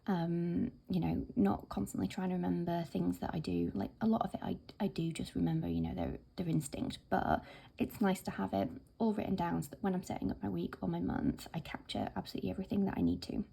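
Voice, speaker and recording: A woman with a hoarse, croaky voice from being ill, chatting casually to camera about her planner with plenty of fillers. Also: fairly low pitch, fast talker. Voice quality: croaky, whispery. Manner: chatty, relaxed, many fillers. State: hoarse from illness. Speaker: planner vlogger.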